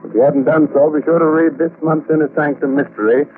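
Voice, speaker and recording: A man's voice: on the high side, fairly even intonation, fast, phone-quality sound. A man hosting a 1940s radio mystery show in a teasing, mock-sinister, chuckling manner. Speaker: horror show host. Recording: thin, noisy vintage radio recording.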